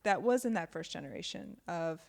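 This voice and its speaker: A woman hosting a community radio interview, talking in a loose, chatty way and thinking out loud as she goes. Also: fairly low pitch, expressive, fairly quick, vocal fry. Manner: thinks aloud, warm and chatty. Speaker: radio show host. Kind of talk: casual interview conversation.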